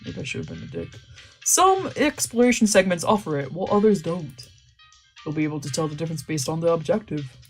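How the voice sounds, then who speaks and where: A woman's voice: low-pitched, very animated, a little fast, soft background music. A woman streaming a visual novel, reading its text aloud and joking playfully between lines, laughing and swearing casually.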